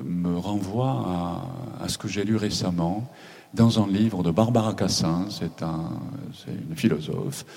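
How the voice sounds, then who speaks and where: Gravelly deep-voiced man, reflective. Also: warm tone. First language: Japanese. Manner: halting, reflective, with many pauses. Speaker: novelist on a panel. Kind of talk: panel discussion.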